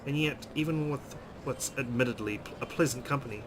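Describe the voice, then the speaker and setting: A man's voice: medium pitch, a little fast, noisy background. A man narrating a visual novel aloud as a let's player, reading it dramatically with brief asides.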